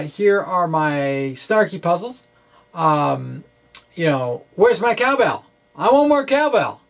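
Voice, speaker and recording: A middle-aged man giving an informal physics explainer in a halting, conversational, wry way, speaking into a microphone indoors. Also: high voice, gravelly. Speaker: physics blogger. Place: small indoor room with bookshelves. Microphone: microphone on a stand in front of him.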